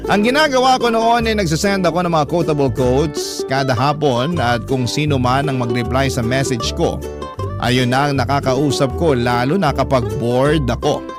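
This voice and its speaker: A man with a Filipino accent playing someone who reminisces about his youth, in measured first-person radio drama narration. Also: mid-range voice, natural voice. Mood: nostalgic. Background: clear guitar music.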